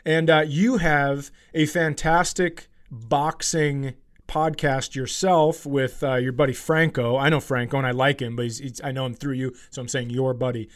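A man's voice: mid-range voice, steady tone, normal speed. A man hosting a podcast, chatting casually and jokingly with informal, sweary talk. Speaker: podcast host. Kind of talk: casual comedic podcast chat.

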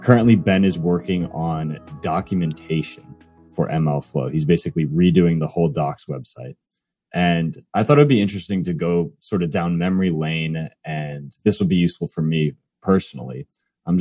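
A man's voice: deep voice, moderate pace, warm tone, no echo, faint music behind. A young adult man hosting a podcast, easygoing and teasing as he introduces his co-host. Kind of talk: casual podcast intro and questions.

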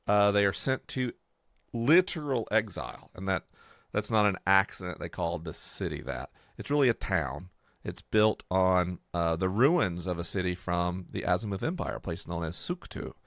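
Low-voiced man explaining in a dry close recording. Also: animated, normal speed, creaky, fairly bright tone, no room sound. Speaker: podcast host and game creator explaining a fictional world. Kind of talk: casual explanatory discussion.

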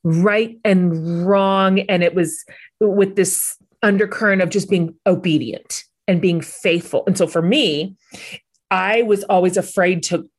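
A woman host interviews a guest in a thoughtful, measured voice that sits on the lower side. She stretches out key words for emphasis as she builds her questions. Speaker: podcast host. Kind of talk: interview conversation.

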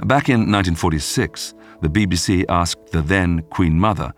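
A man narrating a scripted documentary podcast in a measured, polished storytelling manner. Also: deep voice, soft background music.

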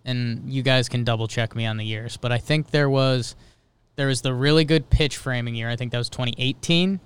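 Clear-voiced man co-hosting sports talk. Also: mid-range voice, a little fast. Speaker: sports podcast co-host.

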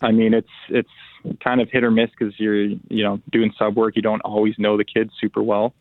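Gravelly man on a tinny phone line. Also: fairly low pitch, no room sound. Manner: relaxed, halting with restarts and fillers. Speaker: pro football player, guest. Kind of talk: phone interview.

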